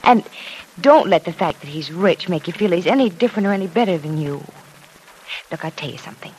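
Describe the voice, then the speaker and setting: Woman playing kind young woman, 1940s radio drama, teasing, reassuring, mid pitch. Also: animated, fairly quick, a little mellow, a little hiss or hum, close to an everyday voice, poor audio.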